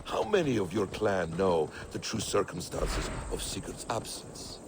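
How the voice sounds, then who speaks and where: An actor playing a shrewd, composed conspirator in a video game, speaking in a measured, eloquent, theatrical way. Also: male voice, deep voice, sing-song, noisy background. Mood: sly, assured. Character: shrewd, composed male conspirator counselling a leader. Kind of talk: video game character acting.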